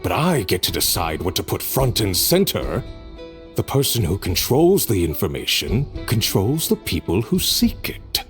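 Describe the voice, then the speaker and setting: An actor playing a resentful, ambitious crime boss in a video game, with a haughty, theatrical, menacing manner. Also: male voice, lowish voice, sing-song, unhurried. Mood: smug, menacing. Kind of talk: dramatic video game voice acting. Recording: voice over music.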